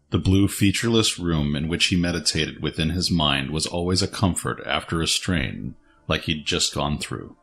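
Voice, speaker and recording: Very deep-voiced male story narrator. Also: a bit bright. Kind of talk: scripted dramatic story narration.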